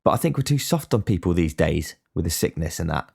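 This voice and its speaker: A man's voice: low voice, very animated, a little fast, creaky, darkish tone, breathy edge. A young man giving a blunt, sweary casual monologue as a podcast host. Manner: blunt, swears freely, ranting.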